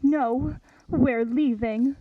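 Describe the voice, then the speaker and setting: A woman's voice: high-pitched, very slow, a little hiss or hum. A woman playing an earnest, anxious young scientist heroine in a melodramatic radio adventure serial. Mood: uneasy. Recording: dull, distant dry recording.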